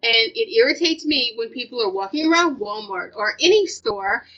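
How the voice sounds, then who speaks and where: A woman's voice: fairly high pitch, lively intonation, moderate pace, a bit bright. A woman with an American accent chatting casually and emphatically as a vlog co-host, repeating her points for stress. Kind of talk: casual opinionated chat. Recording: dull lo-fi audio, music underneath.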